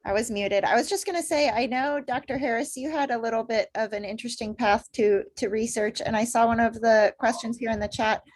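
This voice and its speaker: A woman co-hosting a webinar over a video call, moderating in a quick, friendly way. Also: a little high. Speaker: co-host. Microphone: video call microphone.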